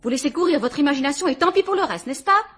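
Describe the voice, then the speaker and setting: A woman's voice: high voice, animated, quick pace, fairly bright tone. A woman playing a cool, defiant murder suspect, speaking in an icy, haughty, sarcastic manner in a vintage radio drama.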